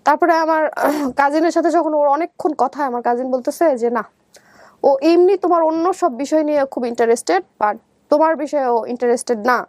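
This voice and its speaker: High-pitched young Bangladeshi woman. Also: fairly quick, darkish tone, no echo. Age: young adult. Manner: conversational, often re-enacts quoted dialogue. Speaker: guest telling her life story. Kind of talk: personal storytelling in an interview.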